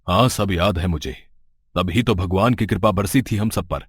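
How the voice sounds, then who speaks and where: A man's voice: deep voice, moderate pace, slightly rough, no room sound, clean studio sound. A man playing a middle-aged village headman in an audio story, stern, suspicious and authoritative. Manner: scolding, authoritative. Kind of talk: dramatic story dialogue.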